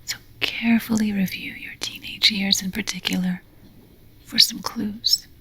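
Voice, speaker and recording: A woman delivers scripted ASMR affirmations in a breathy whisper with a crisp, bright edge. She speaks very slowly and solemnly, as if leading a ceremony, weighing each phrase with deliberate care. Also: medium pitch, steady tone. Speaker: ASMR roleplay host.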